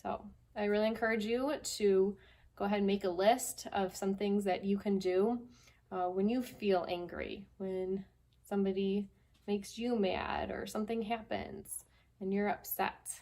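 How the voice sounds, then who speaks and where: A woman reading and talking to young children as a guest storyteller, in simple, deliberate phrases. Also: mid-range voice, steady tone, mellow. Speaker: guest storyteller, school staff member. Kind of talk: children's story read-aloud and advice.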